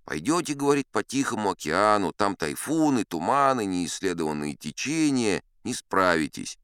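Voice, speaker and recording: A man's voice: fairly low pitch, sing-song, normal speed, fairly bright tone, clean tone, no echo, studio quality. A man voicing a humble, insistent little Japanese man who pleads deferentially for work as a sailor in an audiobook. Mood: pleading, insistent. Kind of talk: audiobook character acting.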